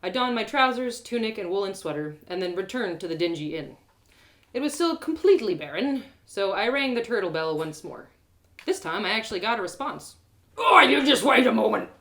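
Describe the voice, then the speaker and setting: Expressive woman reading a story aloud. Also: mid-range voice, fairly quick. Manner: expressive, animated narration. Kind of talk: one-woman audio drama reading.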